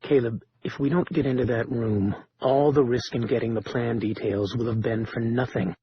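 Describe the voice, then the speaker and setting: A man voicing a calm, guarded male leader who speaks low and measured. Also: slightly rough, dry recording, lo-fi sound.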